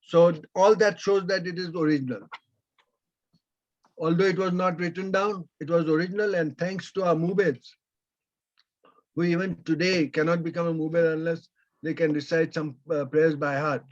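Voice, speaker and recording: A man whose first language is Persian answers audience questions on a video call, slowly and with many pauses. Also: on the high side, fairly even intonation. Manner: slow, halting, with many pauses. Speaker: invited speaker. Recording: dull lo-fi video call audio.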